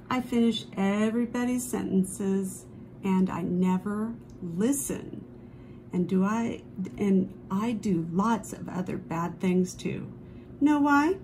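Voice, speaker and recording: Woman reading a kids book out loud, slow and dramatic, some noise in the background. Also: mid-range voice, low-quality recording. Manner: dramatic, drawn-out emphasis on key phrases. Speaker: storyteller reading a picture book aloud. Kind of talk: expressive children's read-aloud. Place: indoors at a table, book held before camera.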